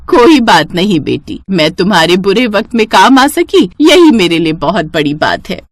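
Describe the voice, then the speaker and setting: A woman's voice: medium pitch, sing-song, moderate pace, dry recording, studio quality. A woman voicing a generous older village auntie in a cartoon story, in a reassuring manner. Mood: kind, firm.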